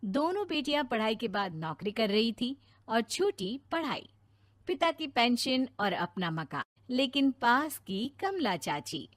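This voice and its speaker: A woman narrator tells a scripted moral story in a fairly high voice. Her delivery is lively, with wide movement in pitch. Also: measured pace, dry recording.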